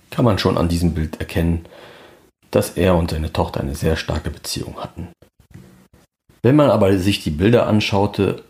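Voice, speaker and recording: Male podcast presenter, deep voice, scripted documentary-style narration. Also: a little mellow.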